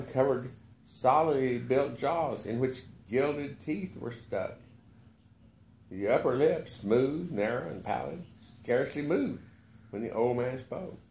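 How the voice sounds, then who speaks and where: A man reading a literary short story aloud with expressive narration. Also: mid-range voice, reverberant. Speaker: story reader.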